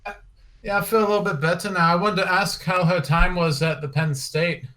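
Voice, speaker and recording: A man calling in to a radio show, halting and hesitant as he asks a question. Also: sits high, fairly even intonation, bright, forceful tone. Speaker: caller. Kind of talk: phone-in question.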